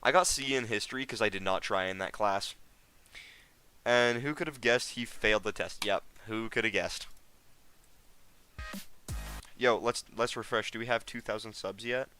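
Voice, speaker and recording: A man's voice: lowish voice, moderate pace, bright, forceful tone, clear, resonant tone. A teenage American guy streaming a game, chatting casually with viewers in a rambling, slangy way. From United States. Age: teens. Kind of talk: casual live stream chat.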